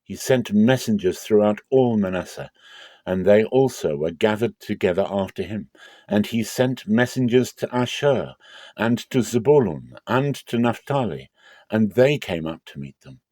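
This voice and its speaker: A man gives a dramatic reading of scripture as narrator. His voice is low and dark, the delivery solemn and theatrical, and the recording is dry and close. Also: expressive, normal speed, dry recording.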